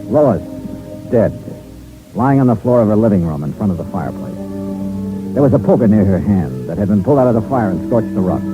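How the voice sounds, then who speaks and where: A man's voice: on the low side, sing-song, brisk, long pauses, music in the background. An actor playing a wry, hard-boiled investigator narrating his case in a clipped old radio detective style. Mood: grave, tense. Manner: clipped, wry first-person storytelling. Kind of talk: 1950s-60s radio detective drama narration. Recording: muffled old-time radio recording.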